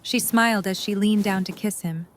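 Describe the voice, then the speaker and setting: A woman's voice: mid-range voice, fairly even intonation, moderate pace, a little hiss or hum, low-quality recording. A woman reading scripted story narration as the narrator of an audio drama.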